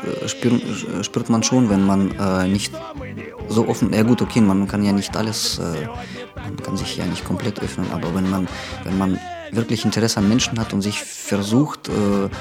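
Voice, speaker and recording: A man, speaking hesitantly and reflectively in a documentary interview. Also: lowish voice. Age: 20s. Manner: hesitant, many fillers and restarts, reflective.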